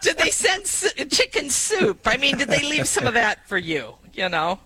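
A man co-hosting a morning radio show, excitable and full of exclamations. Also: very high-pitched, normal speed, loud music behind the voice. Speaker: radio co-host.

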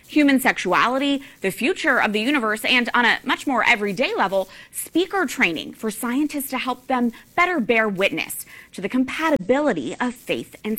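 A woman's voice: a little high, fairly bright tone. A woman anchoring a program, reading a scripted introduction to a report. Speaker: news anchor. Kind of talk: scripted news narration.

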